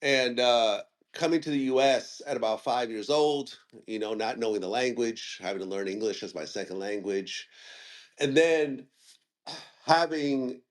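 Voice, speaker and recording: A man's voice: mid-range voice, a little slow. A man in his forties from Ukraine who grew up in Northern California, talking casually with frequent restarts and fillers. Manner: rambling, with many restarts and fillers. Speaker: guest interviewer and media host. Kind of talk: casual audio chat room conversation.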